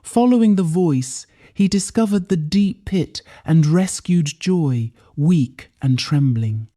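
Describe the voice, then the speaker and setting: A woman's voice: sits low, expressive, slow, warm tone, no room sound, clean studio sound. A woman storyteller reading a scripted folktale as a dramatic narration.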